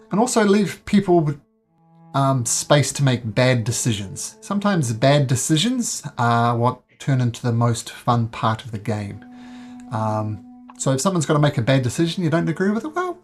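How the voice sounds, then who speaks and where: DM, New Zealand man, accent tinged North American, slightly husky voice, faint music under. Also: mid-range voice. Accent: New Zealand mixed with North American. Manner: earnest, with frequent ums and restarts. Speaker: dungeon master. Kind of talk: explaining game rules to players. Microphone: voice call microphone.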